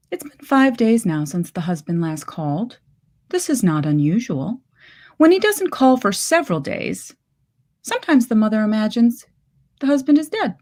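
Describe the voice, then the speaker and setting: A woman reading a literary short story aloud in measured, deliberate phrases. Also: on the low side.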